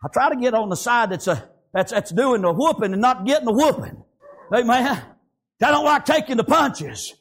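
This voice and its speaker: High-pitched Southern preacher man. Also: lively intonation, moderate pace, fairly bright tone. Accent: Southern American. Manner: exclamatory, punctuated with amens and praises; folksy, repetitive phrasing. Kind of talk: fervent revivalist church sermon.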